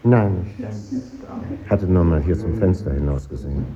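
An actor playing a middle-aged former pupil, narrating in a measured, melancholy way in a 1950s German radio play. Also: male voice, low voice, animated, a crowd in the background. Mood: reserved. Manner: measured, pensive. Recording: dull, noisy 1950s radio recording.

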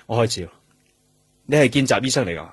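An actor with a Hong Kong Cantonese accent playing a calm, persistent police detective in a radio drama, speaking in a measured, questioning way. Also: male voice, medium pitch, husky, dry recording, soft background music. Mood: polite, probing. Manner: measured, questioning, with noncommittal hums.

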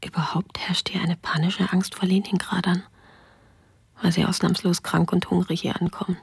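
An actress whispering the diary of an exhausted woman refugee for a radio drama, raspy and tired. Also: on the low side, flat delivery, moderate pace, no echo, exaggerated voice. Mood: weary.

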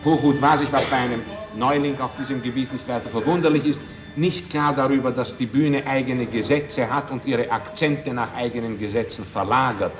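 A man's voice: mid-range voice, moderate pace. Articulate man commentator over faint music. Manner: articulate, elaborate sentences. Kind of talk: opinionated spoken critique.

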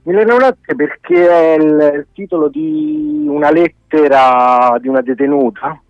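A man's voice: fairly high pitch, normal speed, dry recording. A man giving spontaneous, hesitant interview answers as a radio guest. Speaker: documentary director as radio guest. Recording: dull lo-fi radio call.

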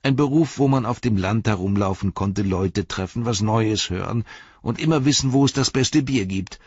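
Man reading as a nervous, complaining hobbit constable, high and husky. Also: normal speed, dry recording, muffled recording. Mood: confiding. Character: timid, grumbling young male hobbit constable.

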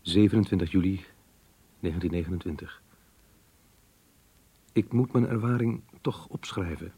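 An actor playing a remorseful middle-aged man reading out his diary confession in a radio play, grave and measured. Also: warm tone, no room sound. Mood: troubled, reflective. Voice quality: low, husky. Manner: grave, measured, literary diction. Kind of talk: Dutch radio play monologue.

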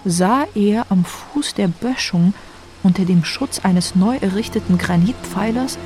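A woman's voice: medium pitch, a little slow, over music. A woman narrating a story in a dramatic, scripted radio play style.

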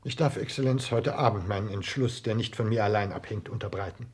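A man acting a guarded, ambitious male secretary in a 1960s German radio play, speaking formally in measured, clipped phrases. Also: fairly low pitch, a little mellow, slightly rough. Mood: deferential, formal.

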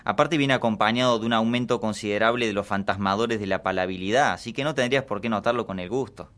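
A man playing an earnest, pedantic explainer in a deadpan absurd radio comedy sketch. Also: on the low side, quick pace, natural voice.

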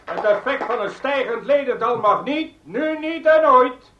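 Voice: high-pitched, animated, measured pace. An actor playing a combative, indignant politician giving a declamatory farewell speech in a radio play, heard on tape. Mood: indignant, defiant. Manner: declamatory, forceful. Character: Slager, combative, indignant politician and departing broadcasting chair. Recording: noisy, dull tape recording of speech.

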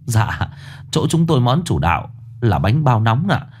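Male narrator as eager servile shopkeeper, overly polite, lively pitch. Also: moderate pace, slight background noise, natural voice. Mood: fawning. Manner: fawning, polite.

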